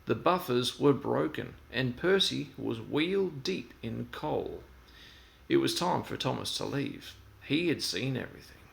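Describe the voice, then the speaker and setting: A man reading a children's story aloud in an expressive storytelling style. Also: medium pitch, unhurried, vocal fry.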